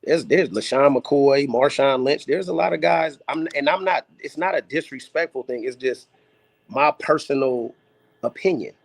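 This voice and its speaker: A man's voice: mid-range voice, normal speed, creaky, no echo. Laid-back man in a dry sports debate. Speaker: podcast co-host.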